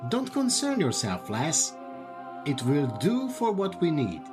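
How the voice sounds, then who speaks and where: A man acts out a sinister master of ceremonies in a radio drama, commanding and theatrical. His fairly high voice swings widely at a slow, deliberate pace, with music clearly behind it. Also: huge pitch swings. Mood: ominous, ceremonial. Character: ominous, commanding male cult-like master of ceremonies at hotel. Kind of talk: radio play acting.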